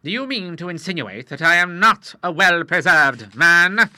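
A man voicing a hot-tempered retired admiral of sixty-three, speaking loudly and with affront. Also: a little high, animated, brisk, dry recording, voice pushed up. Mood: indignant. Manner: loud, blustering and affronted.

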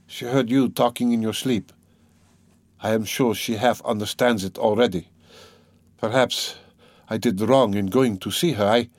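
A man's voice: mid-range voice, dry recording, close to an everyday voice. Man acting tormented, proud young man.

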